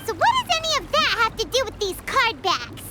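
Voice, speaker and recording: A tiny, excitable sidekick in a video game. The voice is extremely high, squeaky and childlike. It jumps around animatedly. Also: female voice, fairly quick, noisy background. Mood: curious, surprised. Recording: loud music behind the voice.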